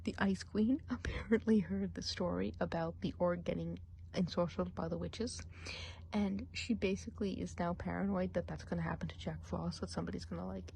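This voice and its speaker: A woman plays a chatty witch of the woods, trading gossip in a low, gravelly hush that drifts between soft talk and whispers. Her tone is mellow and dark, with a faint hiss of noise behind her in this ASMR roleplay. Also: fairly even intonation, brisk. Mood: gossipy.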